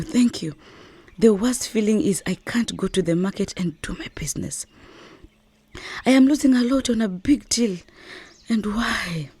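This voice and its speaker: A woman's voice: medium pitch, mellow, no room sound, lightly acted. A woman playing an injured but determined young wife and market trader in a radio drama, speaking wearily and earnestly. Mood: pained, weary. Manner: weary, earnest, breathy delivery.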